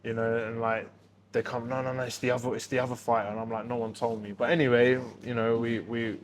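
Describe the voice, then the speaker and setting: A man's voice: mid-range voice, flat delivery, quick pace. A British man telling anecdotes as an interview guest, conversational and slangy, acting out remembered exchanges. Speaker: guest, boxing MC recalling his career. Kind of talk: casual podcast interview anecdotes. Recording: noisy background with ambient sound.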